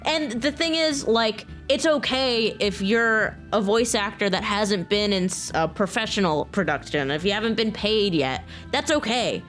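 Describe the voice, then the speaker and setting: A woman talking casually and earnestly to her viewers, excited and informal in manner, as a video creator. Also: medium pitch, measured pace, hardly any pauses, faint music behind. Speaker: video creator and game modder. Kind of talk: casual, personal talk to viewers.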